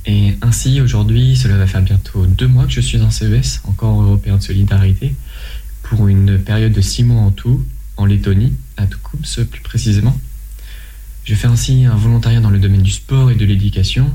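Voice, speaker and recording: Young man with a dark voice. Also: on the low side, fairly even intonation, poor audio. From France. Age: 20s. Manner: measured, with frequent hesitations and restarts. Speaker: interview guest, volunteer. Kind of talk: answering interview questions.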